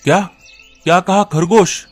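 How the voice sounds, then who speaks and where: A man's voice: high-pitched, steady tone, unhurried. A man voicing a greedy male eagle in a cartoon, hungry for big prey. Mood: greedy, eager. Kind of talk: cartoon dialogue. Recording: music under the voice.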